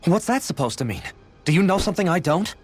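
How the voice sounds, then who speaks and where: An actor playing a practical, worried technician in a video game, speaking in an urgent, matter-of-fact way. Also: male voice, high voice, big pitch swings, fast talker, slightly rough, soft background music, full character voice.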